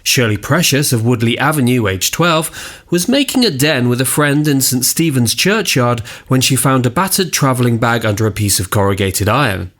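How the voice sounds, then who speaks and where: A man playing an earnest local reporter, narrating a scripted village news story in a measured way for audio drama. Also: medium pitch, animated, fairly bright tone, lightly acted.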